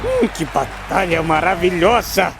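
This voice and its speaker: A man voicing a brash, bossy young anime hero with a Brazilian accent, debating theatrically in a scripted animated style. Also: high-pitched, a little slow, vocal fry, background noise, full character voice. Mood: grand, theatrical.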